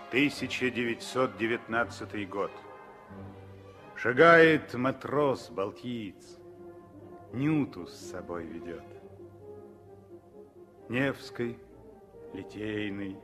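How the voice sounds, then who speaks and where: The narrator of an old revolutionary radio play. He declaims very slowly in short, poetic phrases, solemn and stirring. Faint music plays behind him. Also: mid-range voice.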